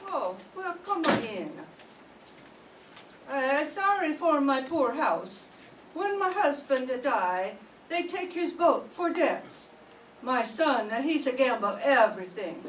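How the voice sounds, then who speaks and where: A woman's voice: high-pitched, sing-song, heavily acted. Older woman playing a frightened immigrant widow in broken English. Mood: wary. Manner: halting broken English, pleading. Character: poor widowed immigrant mother, proud, frightened. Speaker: amateur radio drama performer. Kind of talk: live reading of a 1940s radio drama. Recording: echoey room, distant mic, faint noise.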